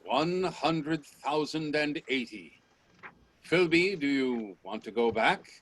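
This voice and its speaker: An actor playing a bold, probing scientist and time traveller in a radio play, speaking in an assertive, professorial way. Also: male voice, fairly high pitch, lively intonation, slow pace, a bit bright, heavily acted. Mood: matter-of-fact. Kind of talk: radio drama acting. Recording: noisy video-call audio with clicks.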